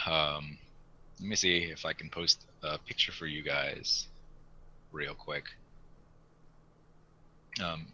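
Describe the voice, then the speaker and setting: A man's voice: a bass voice, bright, forceful tone, low-quality recording. A man co-hosting a hobby podcast, chatting casually and enthusiastically in an informal way. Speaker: podcast co-host. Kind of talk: casual hobby chat about model kits.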